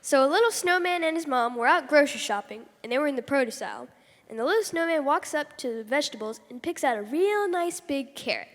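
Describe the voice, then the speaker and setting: A woman's voice: high-pitched, expressive, fast talker. A woman playing a joke-telling husband in an old-time radio comedy duo on stage. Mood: corny joking. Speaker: comedy double-act performer. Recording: long echoing hall reverb.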